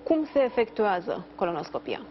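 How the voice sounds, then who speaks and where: A woman's voice: mid-range voice, big pitch swings. A woman in her thirties presenting morning news and putting formal questions to a guest from a studio. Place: indoors in a TV studio. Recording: slightly dull sound, faint background noise.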